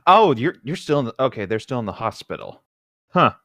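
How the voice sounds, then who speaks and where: A man's voice: medium pitch, big pitch swings, a little fast, a bit bright, no room sound, studio quality. A man co-hosting a podcast, chatting casually about a book with wry, loose reactions. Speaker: podcast co-host.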